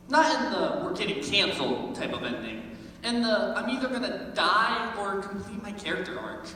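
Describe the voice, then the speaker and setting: A man's voice: sits high, sharp tone. A teenage boy performing a comic monologue in a conversational, mock-dramatic manner. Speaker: high school senior performing. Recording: very echoey hall, distant mic, faint noise.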